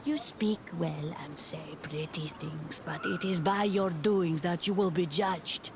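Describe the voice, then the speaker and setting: A woman's voice: fairly low pitch, a little slow, slight background noise, close to an everyday voice. A woman playing a wary, brusque villager of a fantasy island clan in a video game. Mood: guarded.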